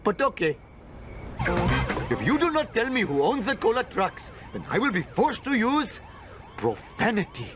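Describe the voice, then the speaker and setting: Cartoon game shopkeeper, earnest Indian man: high, very animated, formally polite, easily flustered. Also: normal speed, long pauses, over music. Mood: indignant. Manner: formal, stilted, exclamatory phrasing. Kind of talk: video game cartoon cutscene acting.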